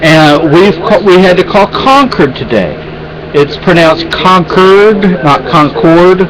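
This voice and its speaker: A man's voice: high voice, animated, slow, a crowd in the background, low-quality recording. A man venting in a rambling, exasperated way in a casual home vlog, with many restarts. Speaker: vlogger.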